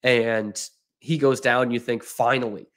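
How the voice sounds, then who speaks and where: A young man breaks down a bad game on his own sports podcast, sounding exasperated and sarcastic. He talks straight to his viewers. His voice sits at an ordinary middle pitch, close on a desk mic in a small room at home. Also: fairly even intonation, unhurried, a bit bright. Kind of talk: postgame analysis, casual rant. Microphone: desk microphone in front of him.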